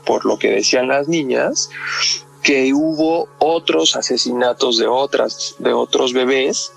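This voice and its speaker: A man's voice: medium pitch, measured pace, gravelly. A man with a Mexican accent speaking as a guest psychoanalyst in conversation, explaining step by step and often tagging on questions. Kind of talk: explanatory conversation about a crime case. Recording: music behind the voice.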